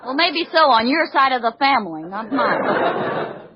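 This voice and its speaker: A scolding, wisecracking wife in high-pitched 1940s radio comedy style. The old recording is very noisy and dull, with a loud studio crowd behind her. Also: sing-song, unhurried. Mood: nagging. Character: nagging, wisecracking wife, bossy.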